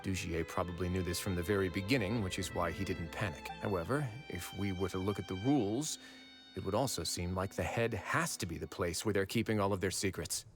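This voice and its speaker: A game duke, lowish voice, calm and shrewd, in charge, loud music behind. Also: male voice. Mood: deductive, confident. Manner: measured, authoritative. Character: commanding, shrewd duke, composed investigator. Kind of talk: video game voice acting.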